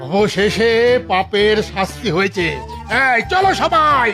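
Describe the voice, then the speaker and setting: A man's voice: high-pitched, very animated, unhurried, over music, full character voice. A man voicing a blustering, vindictive cartoon king with an imperious, scolding manner. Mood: grudging.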